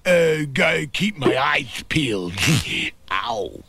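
A man's voice: on the high side, very animated, slow, vocal fry, bright, forceful tone, dry recording, full character voice. A man voicing a big dim-witted, stubbornly cheerful cartoon dog with a goofy, dazed, babbling delivery. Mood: pained, dazed. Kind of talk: exaggerated slapstick cartoon acting. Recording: music behind the voice.

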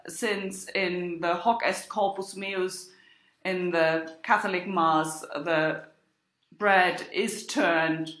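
A woman giving an academic lecture, explaining in a halting way as she thinks aloud. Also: medium pitch, a bit bright. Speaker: university lecturer. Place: indoor room with echo. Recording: echoey room.

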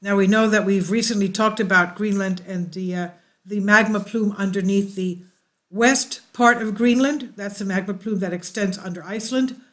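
A woman reads a science news story aloud in a steady voice. Now and then she drifts into unscripted asides, stumbling over fillers and correcting herself before she returns to the text. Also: mid-range voice, moderate pace. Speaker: YouTube news host.

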